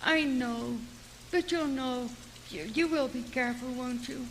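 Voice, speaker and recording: Woman acting a high, creaky, fretting mother. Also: unhurried, a bit bright. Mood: worried pleading. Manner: pleading, worried, cautioning. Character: anxious, fussing mother of a grown son. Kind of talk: old-time radio drama acting. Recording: reverberant, faintly noisy radio play recording.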